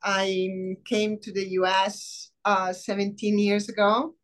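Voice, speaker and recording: A middle-aged woman from Rome with an Italian accent, a professor speaking in a casual interview, thoughtful with many pauses. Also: medium pitch, level tone. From Rome, Italy. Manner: warm, thoughtful, many "um" pauses, laughs easily. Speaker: biology professor, guest.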